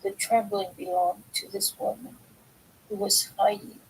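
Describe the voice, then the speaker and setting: A woman reads a book aloud in an informal, halting way, going back over words she trips on. Her voice sits at a middling pitch with a noticeable creak. There is faint noise and a low rumble in the recording. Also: steady tone, rough recording. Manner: halting, stumbles over words and corrects herself. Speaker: reader of a book aloud, video host. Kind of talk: informal read-aloud of a self-help philosophy book.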